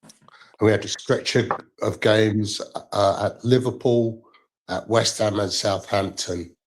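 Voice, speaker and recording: A male caller with a croaky voice from illness, talking through football in a measured, old-school way. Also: fairly low pitch, steady tone, a little hiss or hum. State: croaky from stomach flu. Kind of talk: call-in football chat.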